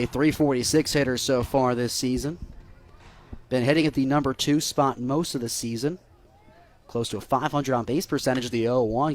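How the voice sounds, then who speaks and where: A young American man doing live radio baseball play-by-play, lifting into excited calls on big plays. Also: medium pitch. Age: young adult.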